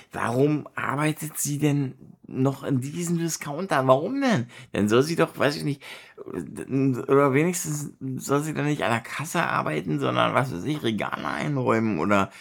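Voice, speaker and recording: A man from Berlin chatting casually in colloquial German, rambling and halting as he searches for words and gives his opinions. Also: mid-range voice, vocal fry. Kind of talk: casual monologue, personal chat.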